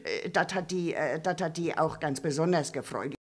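A woman's voice: deep voice, normal speed, pitched below the performer's own voice. A woman voicing a gossipy old female puppet who rambles, stammers and restarts her sentences. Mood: rambling. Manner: stammering, full of fillers and restarts. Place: dark theatre stage.

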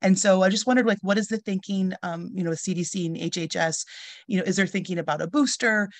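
A woman hosting a video call, asking brisk conversational questions. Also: medium pitch, dry recording. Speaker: host.

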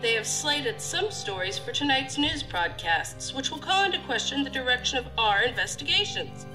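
A woman's voice: high-pitched, sing-song, moderate pace, music in the background, low-quality recording. A woman playing a stern, critical deputy director of a federal agency in an audio drama, formal and authoritative. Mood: stern, disapproving.

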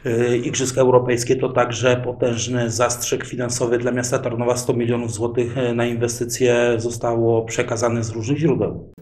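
Male host, middle pitch, direct questioning at a desk mic. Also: flat delivery, moderate pace, darkish tone. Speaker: interview host. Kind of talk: interview questions. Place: small studio set with bookshelves. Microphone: desk microphone on stand.

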